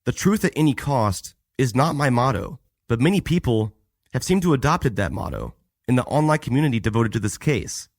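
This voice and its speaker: A young man hosting a podcast, narrating a scripted story in a measured, matter-of-fact way. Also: mid-range voice, no echo. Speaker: podcast host.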